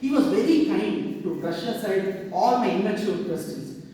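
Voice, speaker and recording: A middle-aged South Indian man, a native Tamil speaker, delivers a prepared devotional speech in a notably high voice. His manner is formal and earnest. The sound is distant, washed in the long, heavy reverberation of a hall. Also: animated, moderate pace, mellow. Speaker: devotee giving a memorial talk. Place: large reverberant ashram hall.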